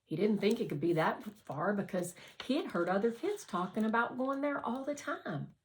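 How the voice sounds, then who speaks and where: A woman's voice: medium pitch, lively intonation, normal speed, a little hiss or hum. A woman reading a children's novel aloud as scripted narration. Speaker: audiobook reader.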